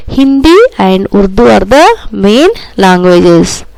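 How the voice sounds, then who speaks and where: Slow-paced South Indian woman teacher. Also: medium pitch, animated, gravelly, a little mellow, dry recording, poor audio. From Karnataka, India. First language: Kannada. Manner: repetitive, instructive, often addressing listeners directly with tag questions. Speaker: primary school social studies teacher. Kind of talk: school lesson for children.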